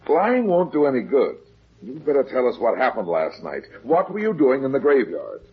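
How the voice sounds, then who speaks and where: Shrewd radio detective, hard-boiled, husky, highly animated intonation, muffled 1950s recording. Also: male voice, moderate pace, heavily acted. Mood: pressing. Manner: terse, hard-boiled, insistent. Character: shrewd, steady male private detective protecting his clients.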